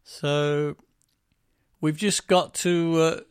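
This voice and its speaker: A man's voice: a little high, steady tone, very slow, clean tone, dry recording. Rambling self-mocking man, dry sound. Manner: rambling, self-deprecating, many ums; breaks into quoted voices for jokes. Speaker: musician and podcast co-host. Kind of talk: casual podcast chat.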